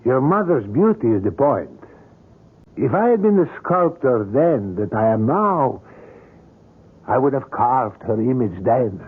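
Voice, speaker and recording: A man's voice: mid-range voice, very animated, a little slow, husky. An actor playing an older, courteous and obsessive male sculptor in a radio drama, with formal, florid and precise phrasing. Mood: fervent, wistful. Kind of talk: old-time radio drama acting. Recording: muffled vintage radio drama recording.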